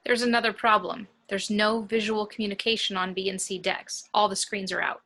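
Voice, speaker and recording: A woman's voice: mid-range voice, level tone, fairly quick, pushed and bright. A woman in an amateur table read playing a resolute, practical spaceship warrant officer, firm and insistent. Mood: firm, urgent.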